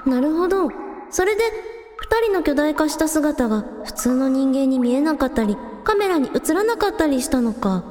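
A woman as a high-pitched, determined village idol. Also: animated, moderate pace, mellow. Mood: inquisitive. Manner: casual, decisive, questioning. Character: young village idol heroine, determined and plain-spoken. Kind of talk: radio drama acting. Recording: echoey hall reverb, faint music behind.

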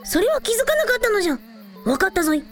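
ラジオドラマで、古風な語尾で話す誇り高く健気な小さいドラゴンの王子を演じる女性。. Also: female voice, very high voice, very animated, racing, faint music behind. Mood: proud, eager. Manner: quaint old-man sentence endings in a childlike register. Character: small gluttonous dragon prince with old-fashioned speech, proud, earnest. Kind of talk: amateur anime-like radio drama acting.

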